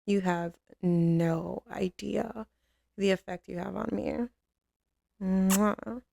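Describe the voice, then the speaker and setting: Casting: woman as a commanding female mafia boss, slow drawl, dark velvety tone, tough but soft on her man, intimate ASMR roleplay. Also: mid-range voice, steady tone, vocal fry, no room sound, studio quality. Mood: tender. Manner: drawling, teasing, streetwise, with pet names.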